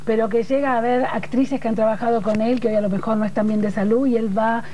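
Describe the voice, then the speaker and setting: A woman's voice: on the high side, fairly even intonation, fast, mellow, muffled recording, slight background noise. A middle-aged Argentine woman hosting a studio panel, eagerly prompting her guests with questions. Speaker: television talk show host. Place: television studio.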